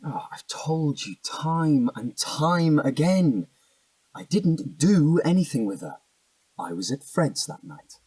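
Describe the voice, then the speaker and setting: A man's voice: a little high, expressive, takes it slowly, darkish tone, husky, dry recording, far from the mic, heavily acted, rough recording. An actor playing an evasive, defensive young British man in a naturalistic audio drama, hesitant and slangy. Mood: defensive, irritated.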